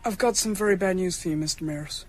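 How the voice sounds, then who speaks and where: A woman's voice: fairly low pitch, fast talker, natural voice. A woman voicing a brave, precocious schoolboy in a radio drama, earnest and matter-of-fact. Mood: urgent, solemn.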